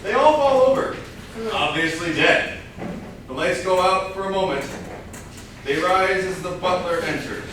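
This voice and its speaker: A man's voice: sits high, lively intonation, measured pace, long pauses, fairly bright tone. A man giving scripted narration, reading out stage directions at a staged play reading. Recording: echoey hall, far mic, clear noise.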